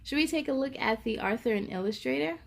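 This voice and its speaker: A woman's voice: high-pitched, animated, quick pace, creaky. A woman hosting a read-aloud for young children, telling picture-book stories expressively and speaking straight to her listeners.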